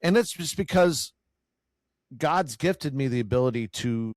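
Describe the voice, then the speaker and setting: A man with a growly, loud voice talks earnestly about faith, stumbling and repeating words as he gathers his thoughts. His pitch sits in the middle for a man. The recording is dry and close, with no room sound. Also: animated, unhurried, dry recording. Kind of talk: casual faith conversation.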